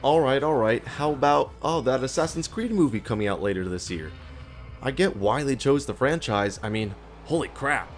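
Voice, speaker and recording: Male video essay host, conversational and sarcastic, average pitch, light music bed behind. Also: animated, moderate pace. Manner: sarcastic, rhetorical questions answered by himself. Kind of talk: scripted narration in a casual, conversational video essay.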